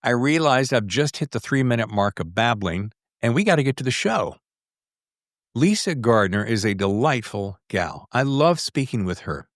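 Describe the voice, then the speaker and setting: A man's voice: mid-range voice, sing-song, normal speed, no echo, clean studio sound. A middle-aged or older man hosting a podcast in a casual, playful and rambling way, with self-teasing asides. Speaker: podcast host.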